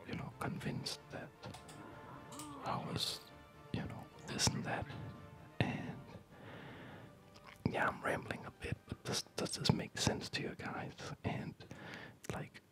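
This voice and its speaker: A man whispering in a hesitant, halting, reflective way, as in a rambling ASMR video. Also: poor audio. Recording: music behind the whisper. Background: music.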